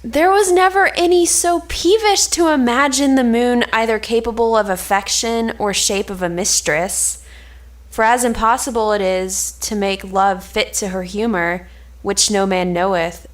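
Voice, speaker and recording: A woman's voice: mid-range voice, lightly acted. A woman playing a sensible, reproving male friend in a stage play, reading formal archaic lines. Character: sensible, scolding male friend of a lovesick nobleman.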